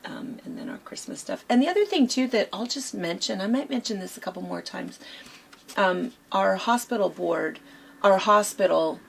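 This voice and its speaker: A hoarse woman co-hosting a quilt shop chat, rambling casually with lots of fillers. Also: mid-range voice, lively intonation, moderate pace, slight background noise. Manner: chatty, rambling with many fillers.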